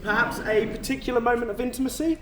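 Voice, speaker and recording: A man on stage playing an earnest, careful official with a measured, questioning, slightly awkward manner. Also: very high voice, fairly bright tone. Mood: patient, probing. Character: earnest, careful male afterlife official, patient but self-doubting. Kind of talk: stage play acting. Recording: distant roomy stage recording, faint noise.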